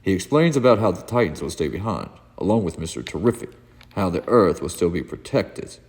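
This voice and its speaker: A man narrating a comic book read-along in a dramatic, solemn, theatrical way with deliberate pauses. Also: low-pitched, very animated, a little mellow. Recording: long hall reverb.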